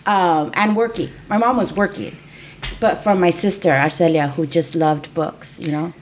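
A woman hosts a podcast conversation, chatty and encouraging, affirming her guest often. She grew up speaking Spanish at home and her voice sits a little low. A faint noise is heard behind her. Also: moderate pace. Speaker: podcast host, employment attorney. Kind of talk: conversational interview.

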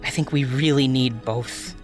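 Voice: mid-range voice, measured pace, soft background music, lightly acted. An actor playing an earnest, hurt young activist in an audio drama, pleading in a casual, sweary, naturalistic way. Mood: impassioned, pleading. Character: earnest, hurt young activist who runs a protest blog.

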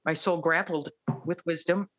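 A woman guest sharing her faith story in casual conversation, earnest and grateful, at times reading scripture aloud slowly. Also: on the low side, fairly even intonation, a bit bright. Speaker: guest, radio producer.

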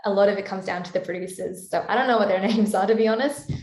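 A woman's voice: mid-range voice, racing, a little mellow. A woman singer-songwriter with a Canadian-sounding accent, chatting thoughtfully in an interview and laughing lightly as she talks. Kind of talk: casual interview chat. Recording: roomy echo.

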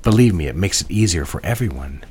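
An actor playing a possessive, jealous lover in an audio drama, measured and commanding, speaking in an intimate, controlling way. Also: male voice, sits low, lively intonation, a little mellow, slightly rough, exaggerated voice. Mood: cool, jealous. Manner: measured, directive, intimate murmuring.

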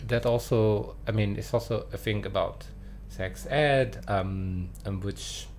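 A man co-hosting a podcast in a studio, chatting casually with chuckles and halting fillers. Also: lowish voice, darkish tone, slight background noise. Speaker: podcast co-host. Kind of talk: casual chat.

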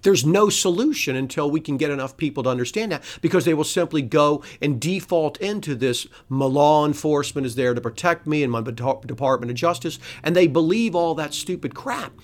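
A man's voice: fairly high pitch, lively intonation, a little fast, hardly any pauses. A middle-aged man hosting a podcast, delivering a solo commentary full of sarcastic rhetorical questions and scoffing laughs. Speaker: podcast host. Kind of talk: solo political commentary monologue.

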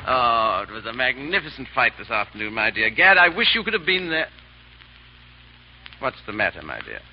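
A man's voice: medium pitch, big pitch swings, moderate pace. A man acting a vain, foppish English aristocrat with an affected, dithering upper-class drawl in a radio drama. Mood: drawling, quieter. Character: foppish, vain English aristocrat husband playing the silly dandy. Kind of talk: 1930s-40s radio acting. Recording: old muffled radio broadcast with hiss.

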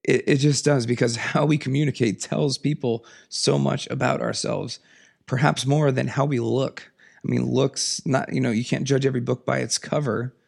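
A man hosting a solo podcast, raspy from a cold, talking in an earnest, emphatic, passionate way. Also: steady tone, moderate pace. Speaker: podcast host. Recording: lower audio quality.

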